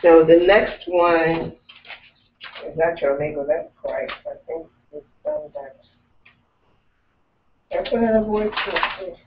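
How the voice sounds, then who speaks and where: A man leads a language class and explains things step by step, often restarting his sentences. His fairly high voice comes from some way off the mic. The room echoes and the sound is thin and tinny, as on a phone line. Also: slow, long pauses, far from the mic, a little hiss or hum. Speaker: language teacher.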